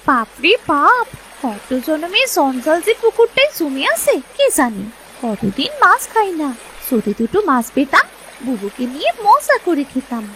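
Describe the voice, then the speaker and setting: A woman's voice: high-pitched, sing-song, unhurried, sharp tone, slight background noise, exaggerated voice, low-quality recording. A woman voicing a restless, scrappy village girl with a whiny, childlike manner for a cartoon. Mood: grumbling, wishful. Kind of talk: Bengali cartoon voice acting.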